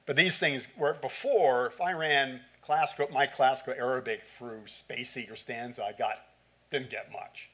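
A man's voice: medium pitch, steady tone, normal speed, bright, forceful tone. A middle-aged man answering questions after a conference talk in a hall, speaking in short bursts with many fillers. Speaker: conference presenter. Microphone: podium mic.

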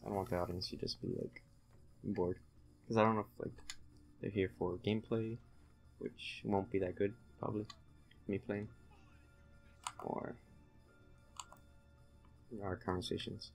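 A man's voice: lowish voice, little pitch movement, unhurried, creaky, long pauses, soft background music. A man giving casual, laid-back, joking gameplay commentary to a friend, thinking aloud as he plays. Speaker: let's play host, playing the game.

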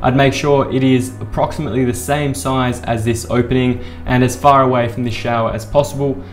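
A young man at a desk hosting an architecture software tutorial, explaining a bathroom design and gesturing as he talks. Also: mid-range voice, steady tone, a little fast. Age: young adult. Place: small room at a desk. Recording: echoey room with faint music.

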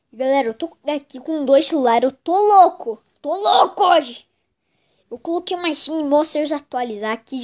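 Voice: high voice, darkish tone. A child hosting a casual mobile game commentary, sounding congested with a cold. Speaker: gaming channel host.

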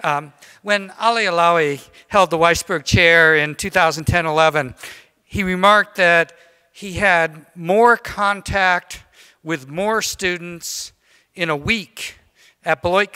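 An older man presenting an award at a college ceremony, speaking formally with gentle teasing. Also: high voice, slow pace, clear, resonant tone. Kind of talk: ceremonial remarks. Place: large college concert hall. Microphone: podium mic.

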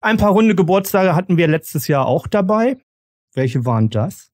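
A man's voice: high-pitched, very animated, moderate pace, darkish tone, no room sound. Man hosting a podcast, dry sound. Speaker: podcast host. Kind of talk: casual moderated discussion.

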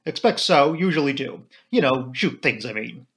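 A man voicing a gruff, humble big-game hunter, speaking in short, abrupt, simple phrases for an audiobook. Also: mid-range voice, lively intonation, moderate pace, fairly bright tone. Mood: awkward.

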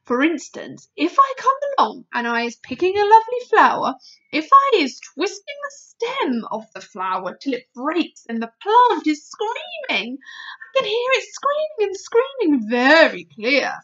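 A woman voicing a gentle, proud, excitable giant in a storybook, with quaint, ungrammatical speech and muddled invented words. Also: extremely high pitch, a little slow, muffled recording, pitched above the performer's own voice, full character voice. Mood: intense, excited. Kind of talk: storybook character voice.

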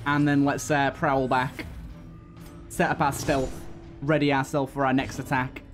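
A man's voice: mid-range voice, level tone, measured pace. A man giving casual video game commentary, thinking aloud and often repeating himself. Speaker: let's play host. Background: game music.

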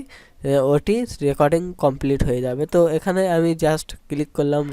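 A man walks through a phone app step by step. His voice is soft-edged and dark, recorded close and dry. He explains in an instructional way and often says a phrase over again. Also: on the high side, clear, resonant tone, dry recording. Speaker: tech tutorial host. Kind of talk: spoken step-by-step app tutorial.

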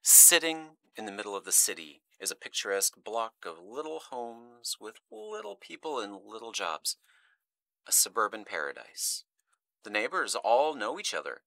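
An actor playing a menacing, volatile kidnapper in an audio drama, mock-polite and theatrical, shifting between hushed and explosive delivery. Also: male voice, on the low side, very animated, normal speed, gravelly, no room sound, exaggerated voice, poor audio. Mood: hushed, sinister. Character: menacing, volatile male kidnapper calling a radio show.

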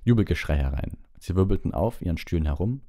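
A man's voice: low-pitched, lively intonation, fast talker, mellow. A man reading a story aloud as scripted audiobook narration.

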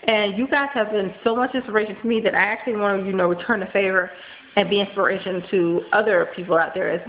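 A woman in her thirties with a nasal voice, congested from a cold and tired, talking fast in a rambling casual vlog full of ums and likes, recorded close on a webcam in a small room at home. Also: medium pitch. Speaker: vlogger. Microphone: built-in webcam mic, close. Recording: dull lo-fi webcam audio with faint hiss.

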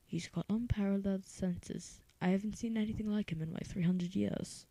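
A woman's voice: medium pitch, fairly even intonation, moderate pace, warm tone, pitched above the performer's own voice, natural voice. A woman voicing a composed, ancient male vampire stranger with a formal manner in an audiobook audition. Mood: coolly confident.

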